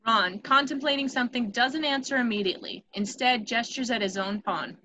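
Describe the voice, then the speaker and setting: A woman's voice: a little high, fairly quick, bright, forceful tone, a little hiss or hum, rough recording. A woman reading stage directions as the narrator of a scripted screenplay table read.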